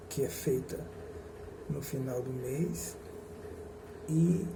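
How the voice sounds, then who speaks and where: Slow gravelly male teacher. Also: on the high side. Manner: slow, deliberate, explains with pauses and self-corrections. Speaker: history teacher. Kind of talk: recorded classroom lecture. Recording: noisy recording with ambient hum.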